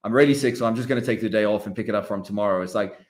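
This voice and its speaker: A man's voice: lowish voice, rapid-fire. A man, a coach hosting a podcast, chatting casually and bluntly with easy swearing while he is sick with a sinus cold. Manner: blunt, swears freely, laughs easily. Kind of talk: casual chat.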